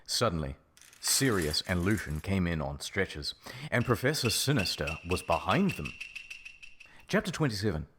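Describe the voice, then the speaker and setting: A man's voice: low voice, noisy background. A man reading a fan fiction story aloud in a playful, dramatic narrating style. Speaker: reaction video host.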